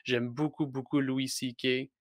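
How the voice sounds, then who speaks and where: A man's voice: medium pitch, level tone, unhurried, no room sound, studio quality. A young man with a Québécois French accent, speaking as a wrestler guest in a casual podcast interview, hesitant with many restarts. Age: young adult.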